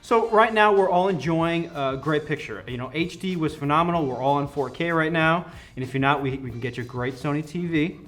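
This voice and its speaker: Higher-voiced man giving an enthusiastic product pitch. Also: a little fast, few pauses, a bit bright. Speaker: company product representative. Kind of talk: conversational product demo interview. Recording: music playing behind the voice.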